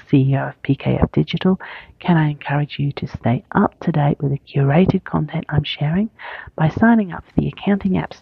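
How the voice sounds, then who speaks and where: A woman's voice: deep voice, creaky, breathy edge, no echo, narrow, tinny audio. A woman, speaking as the host of an interview podcast.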